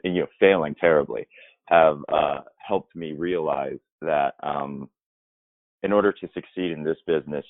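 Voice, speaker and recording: A man with a very low, deep voice talks through his career in a reflective, meandering way, circling back and restarting as he thinks. The sound is thin and phone-like, with no room echo at all. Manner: thoughtful and rambling, with many restarts and fillers. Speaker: musical theater performer. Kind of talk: reflective interview answers. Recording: dry, tinny phone-like line.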